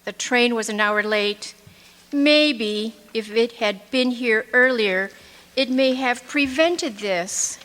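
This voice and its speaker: A woman plays a murder suspect in a radio drama, anxious and careful. Her high voice moves slowly and echoes around a hall stage. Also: a bit bright. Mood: helpful. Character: outwardly helpful, anxious female murder suspect. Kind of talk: old-time radio mystery acting.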